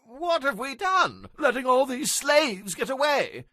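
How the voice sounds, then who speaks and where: A man's voice: very high-pitched, big pitch swings, measured pace, bright, forceful tone, no echo, studio quality, voice pushed up, heavily acted. A man voicing a dismayed, regretful Egyptian official who exclaims aghast, in a dramatized Bible reading. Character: dismayed, regretful Egyptian royal officials losing their slaves.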